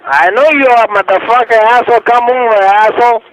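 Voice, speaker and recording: A man's voice: extremely high pitch, steady tone, a little fast, crowd noise. An irate man answering a business phone, arguing combatively and swearing at a caller over a phone line. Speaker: prank call recipient answering business phone. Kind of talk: angry phone argument. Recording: muffled, noisy phone line.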